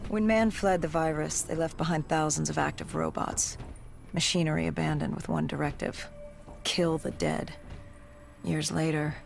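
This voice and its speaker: An actor voicing a grim, augmented soldier in a video game cutscene, narrating in a somber, measured, clipped way with a breathy, gravelly edge. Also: medium pitch, slight background noise, lightly acted. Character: grim cybernetically augmented soldier recounting a past disaster.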